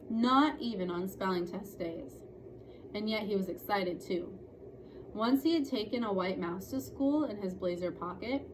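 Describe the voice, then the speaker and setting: A woman narrating a children's novel aloud in a storytelling style. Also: medium pitch. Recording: clearly noisy room recording.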